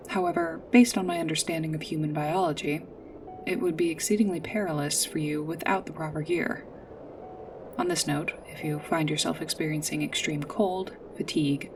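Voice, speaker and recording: A woman playing a polite android guide in a sci-fi ASMR roleplay, speaking in a formal, precise, measured way. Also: low voice, darkish tone, distant mic, a little hiss or hum. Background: music.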